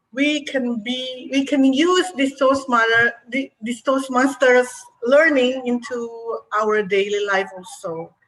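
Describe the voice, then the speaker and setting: A woman from Indonesia hosts an online speaking-club meeting over a video call. Her voice sits high and she speaks slowly. Her manner is cheerful and encouraging. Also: a bit bright, low-quality recording. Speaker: meeting host, Toastmaster of the day.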